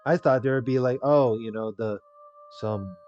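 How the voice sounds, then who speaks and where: A man's voice: medium pitch, normal speed, darkish tone. A man who plays games on his channel, reading and commenting on a visual novel. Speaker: video game player and narrator. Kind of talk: dramatic read-aloud of a visual novel with casual commentary. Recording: dull lo-fi mic with music behind.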